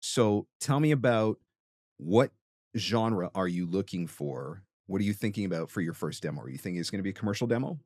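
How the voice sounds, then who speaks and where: Low-voiced man giving emphatic advice. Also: lively intonation, a little fast, darkish tone, no echo, clean studio sound. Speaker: host and voiceover coach. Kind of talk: conversational advice in an interview.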